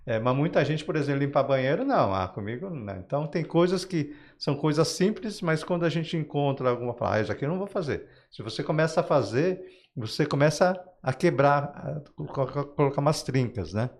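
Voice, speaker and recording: A man's voice: on the high side, expressive, brisk. A man with a Brazilian Portuguese accent, speaking as a guest author in an interview, explaining ideas conversationally through everyday examples with frequent check-in tags.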